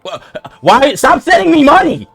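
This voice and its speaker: A man's voice: very high voice, big pitch swings, a little slow, vocal fry, fairly bright tone, faint music behind. A man streaming a game, reacting casually and exclaiming, with repeated phrases and some swearing. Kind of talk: casual live gameplay reaction.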